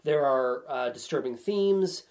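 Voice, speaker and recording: A man's voice: mid-range voice, lively intonation, a little slow, a little mellow. A man chatting casually as a podcast guest, with a wry, joking way of talking. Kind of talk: casual chat about video games.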